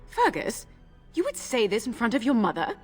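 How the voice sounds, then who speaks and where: A woman's voice: a little high, huge pitch swings, moderate pace, a little mellow, soft background music. A woman playing a dry-witted, scolding young noble wife and mother in a video game. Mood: chiding.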